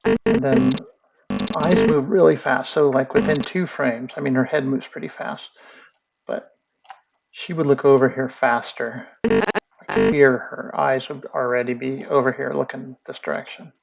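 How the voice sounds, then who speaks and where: A man teaching animation in a casual screen-share tutorial, thinking aloud in a relaxed way. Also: medium pitch, unhurried, vocal fry. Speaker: animation instructor. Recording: muffled lo-fi audio with faint music.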